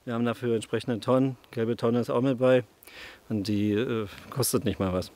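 A man's voice: mid-range voice, fairly even intonation, moderate pace, darkish tone. A man talking casually in an interview as a forestry worker.

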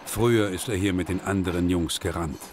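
Ein Mann, der ruhig und gemessen einen Dokumentarfilm aus dem Off erzählt. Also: male voice, low-pitched, brisk, background noise. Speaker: documentary narrator. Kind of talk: scripted documentary narration.

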